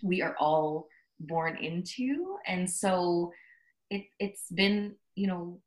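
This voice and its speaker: A woman's voice: lowish voice, lively intonation, takes it slowly. A woman with a Canadian accent, answering as a podcast guest, reflecting and thinking aloud about personal experience. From Canada, Toronto area. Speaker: podcast guest, social impact worker.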